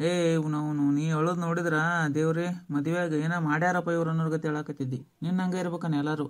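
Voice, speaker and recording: A woman with a North Karnataka accent playing a blunt, exasperated middle-aged relative in a family skit, brisk and scolding. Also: low voice, fairly even intonation, a little mellow, close to an everyday voice.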